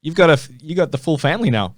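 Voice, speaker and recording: A man hosting a podcast interview, asking questions in a quick, friendly way. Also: on the high side, expressive, no echo, studio quality. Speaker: podcast host, former race commentator. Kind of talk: casual interview chat.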